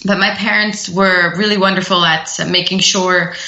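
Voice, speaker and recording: A woman's voice: on the low side, fairly even intonation, moderate pace, pushed and bright. A woman being interviewed as a podcast guest, answering in a reflective, meandering way with many restarts. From United States. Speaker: podcast guest, startup founder. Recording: echoey, dull lo-fi sound.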